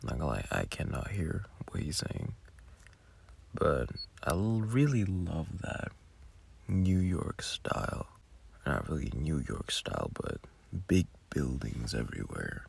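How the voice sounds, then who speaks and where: A man's voice: rumbling low, very animated, takes it slowly, gravelly, a little hiss or hum. A man who makes audio roleplays, giving casual, rambling behind-the-scenes commentary with muttered asides.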